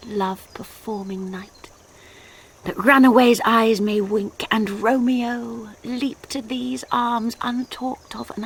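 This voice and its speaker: An actress with an English accent playing a passionate, impatient young noblewoman in a classical radio drama, speaking breathy, intense verse. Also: medium pitch. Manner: poetic, breathy, intense declamation. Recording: noisy 1990s radio drama recording.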